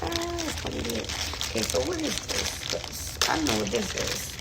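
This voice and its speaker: A woman nail technician with an accent chatting excitedly as she unboxes her supplies in a casual vlog. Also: high voice, a little fast, vocal fry, very noisy. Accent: non-native English accent. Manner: chatty and excited, lots of fillers. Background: loud crinkling and rustling of packaging.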